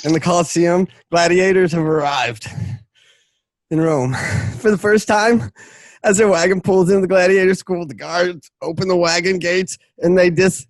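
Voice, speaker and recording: A man with a high voice reads stage directions as narrator. He is halting and trips over words, and the sound is dry. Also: no room sound. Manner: stumbles over words and restarts sentences. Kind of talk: halting script reading.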